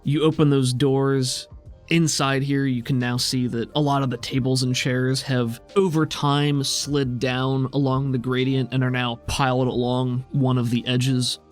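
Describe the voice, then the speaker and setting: A man's voice: mid-range voice, little pitch movement, a little slow, faint music behind. A man narrating a tabletop roleplaying game as game master, conversational and descriptive.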